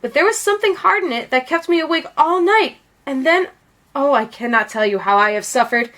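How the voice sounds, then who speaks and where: Woman voicing a delicate, high-pitched penguin princess. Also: fairly quick, a bit bright. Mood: plaintive. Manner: polite, plaintive. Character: young penguin princess, delicate, polite, long-suffering.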